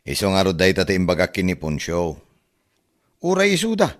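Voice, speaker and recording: A man with an Ilocano accent acting a gossipy, animated neighbourhood rumour-spreader in a radio comedy drama. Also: low-pitched, moderate pace, exaggerated voice. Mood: gossipy, scornful.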